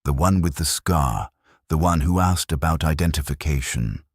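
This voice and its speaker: Man voicing a battle-scarred sci-fi gunner, extremely low husky voice, grim, detached, fatalistic, dramatic first-person storytelling. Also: no room sound, studio quality. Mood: quietly haunted. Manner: grim, detached, deliberate, resigned. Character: war-numbed veteran male heavy gunner, scarred, fatalistic, dystopian sci-fi soldier.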